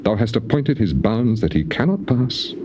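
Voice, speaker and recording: An actor playing a suffering, defiant righteous man in a dramatized scripture recording, declaiming with passion and weighty pauses. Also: fairly low pitch, sing-song, darkish tone. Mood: mournful, resigned. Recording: dull, noisy old cassette tape recording.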